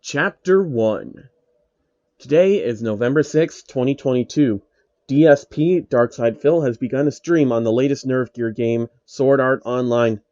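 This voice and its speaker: A man's voice: medium pitch, unhurried, warm tone, no room sound, lo-fi sound. A man reading a scripted story aloud as narrator, with occasional asides of his own.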